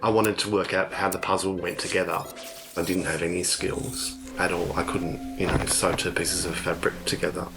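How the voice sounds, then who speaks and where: A man's voice: sits low, level tone, normal speed, very noisy. A man reflecting on his own craft as a maker, in documentary interview style, with thoughtful, hesitant phrasing. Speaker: textile maker. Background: music.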